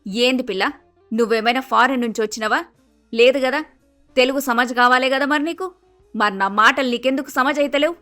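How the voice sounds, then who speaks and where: A woman's voice: high-pitched, fairly even intonation, fast talker, bright, forceful tone, soft background music. A woman playing a bossy, grumpy middle-aged mother-in-law with a Telangana Telugu accent, blunt and scolding, in a story cartoon. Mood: exasperated, scolding.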